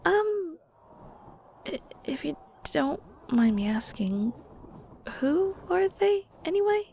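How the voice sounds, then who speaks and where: A woman playing a shy, curious young ghost in an audio roleplay, hesitant, polite and chatty, with soft breathy edges. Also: very high-pitched, big pitch swings, slow pace, a little hiss or hum, heavily acted. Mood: apologetic, curious. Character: shy, curious young female ghost, newly arrived in the underworld. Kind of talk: audio roleplay, intimate conversational acting.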